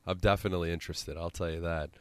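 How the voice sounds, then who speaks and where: A man's voice: low-pitched, steady tone, very fast, smooth, no echo. A man with a central Illinois accent, hosting a casual sports podcast with exasperated, sweary rambling.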